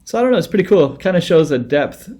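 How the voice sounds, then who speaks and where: A man's voice: a little high, big pitch swings, quick pace, dark tone. Rambling bearded man reacting casually. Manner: rambling, with many fillers and restarts. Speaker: music reaction video host.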